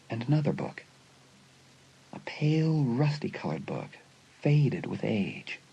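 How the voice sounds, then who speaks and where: A man's voice: fairly low pitch, dark tone. A man narrating a scripted suspense story for a dramatised audiobook. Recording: dull lo-fi sound, clear background noise.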